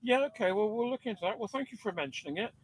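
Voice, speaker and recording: A man with a deep-sounding voice, an amateur radio operator chatting relaxed on air from a field station. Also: fast, slightly rough, low-quality recording. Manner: relaxed, hesitant with fillers. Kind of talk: ham radio contact chat. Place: outdoor field station on a generator.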